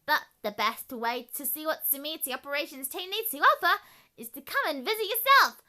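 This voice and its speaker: A woman's voice: high-pitched, expressive, brisk, sharp tone. A girlish female presenter giving a scripted promotional tour as a junior reporter, in a perky, exclamatory way.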